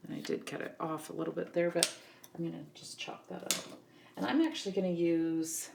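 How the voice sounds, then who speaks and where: Casting: woman, low-ish gravelly voice, scratchy from a cold, chatty craft teacher, faint hiss behind. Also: brisk. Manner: relaxed, chatty, many ums. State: getting over a cold, scratchy voice. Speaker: craft instructor. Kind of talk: scrapbooking tutorial.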